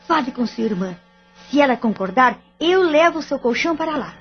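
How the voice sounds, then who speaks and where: A woman's voice: sits high, animated, brisk. A woman playing a firm, proud, exasperated wife and mother in an audio drama, speaking formally. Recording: dull lo-fi audio with music underneath.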